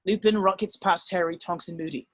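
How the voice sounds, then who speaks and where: A man's voice: high voice, steady tone, brisk, dry recording. A man narrating scripted stage directions at an amateur drama table read.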